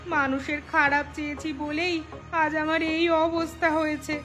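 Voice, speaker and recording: High-pitched, plaintive cartoon village wife played by a woman. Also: little pitch movement, moderate pace, fairly bright tone, soft background music. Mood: remorseful, plaintive. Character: ailing, remorseful village shopkeeper's wife, pleading. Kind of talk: melodramatic cartoon story dialogue.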